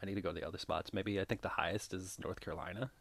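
A man's voice: sits low, fairly even intonation, racing, creaky, a bit bright, dry recording. Rambling young male podcast co-host. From Minnesota. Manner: rambling, tangent-prone, laughs often. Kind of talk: casual chat.

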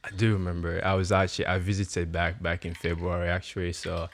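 A man's voice: deep voice, fast. A man in his twenties, guest on a podcast, chatting casually with frequent laughs and "um"s. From Uganda. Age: 20s. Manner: relaxed, laughs often, many ums and likes. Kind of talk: casual chat.

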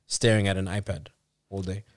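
A man's voice: low-pitched, little pitch movement, fairly quick, dry recording, clean studio sound. A man from Africa co-hosting a casual podcast, explaining at length with restarts and frequent "you know"s. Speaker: podcast co-host.

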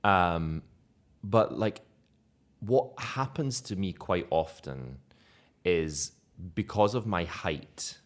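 A man's voice: sits low, lively intonation, slow. A young Scottish man, an actor being interviewed, chatting casually and self-deprecatingly, laughing often. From Scotland.

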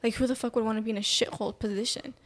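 A woman's voice: on the high side, fairly even intonation, very fast. A young woman hosting a casual podcast chat, argumentative and profane, often laughing. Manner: argumentative, swears, laughs a lot. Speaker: podcast host.

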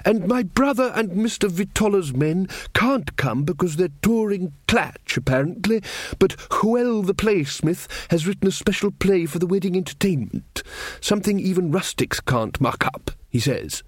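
A pedantic, self-satisfied young king who stumbles over his words while explaining everything, put on by a male reader. He sits a little higher than a plain voice. The recording is dry and close. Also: expressive, normal speed, dry recording. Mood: earnest, fussy. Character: fussy earnest young male king, stammering.

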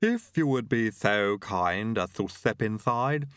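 A deferential, shuffling manservant, acted by a male reader. His delivery is slow and formal with a muttering manner, fit for a storybook. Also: fairly low pitch, animated, a bit bright, clean tone, no echo, studio quality. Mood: deferential, guarded. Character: Igor, deferential, shuffling male butler servant.

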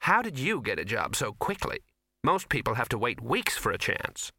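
A man voicing a fed-up young actress who complains loudly and petulantly. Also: mid-range voice, wildly swooping pitch, moderate pace, breathy edge, no echo, clean studio sound, heavily acted. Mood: curious.

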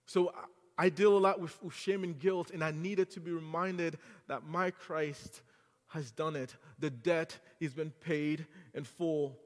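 A man's voice: fairly high pitch, fairly even intonation, a little slow, in a large hall. A man from Haiti with a French accent, preaching an earnest sermon to a congregation that builds to fervent exhortation. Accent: French-accented English. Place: church sanctuary.

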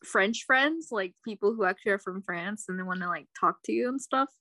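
A woman's voice: medium pitch, brisk, pushed and bright, no echo. Chatty young woman, dry close sound. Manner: chatty, many "like" and "you know" fillers, encouraging. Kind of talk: casual chat.

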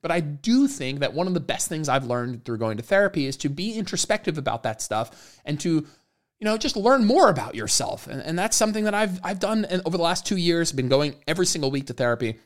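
A man's voice: fairly high pitch, sing-song, brisk. Rambling casual male podcast host. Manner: rambling, lots of "like" and restarts. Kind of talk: casual solo podcast monologue.

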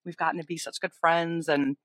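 A woman's voice: low-pitched, steady tone, a little fast, dry recording, studio quality. A woman co-hosting a casual chat, talking in a friendly, chatty way. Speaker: podcast co-host, lender, rescue founder.

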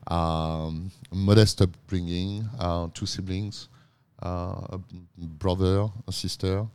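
A man's voice: low-pitched, steady tone, slow pace, a little mellow, clean tone. A man in his fifties, a native French speaker with a French accent, chatting as an interview guest, often repeating words and laughing easily. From France. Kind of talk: casual interview talk.

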